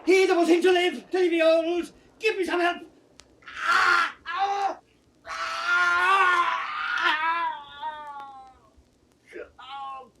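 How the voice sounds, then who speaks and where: Old captive nobleman: impassioned, defiant, slow and bright-voiced Shakespearean declamation. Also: very high-pitched, steady tone, gravelly, a little hiss or hum, full character voice. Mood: agonized. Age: older. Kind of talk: 1960s Shakespearean audio drama acting. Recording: distant 1960s drama recording.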